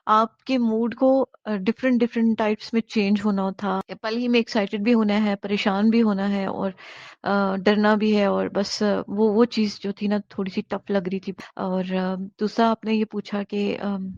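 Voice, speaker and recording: A woman's voice: medium pitch, flat delivery, a little slow, darkish tone, no echo. A woman answering interview questions in a conversational way. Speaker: amateur audio drama actress being interviewed. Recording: dry, slightly dull lo-fi sound.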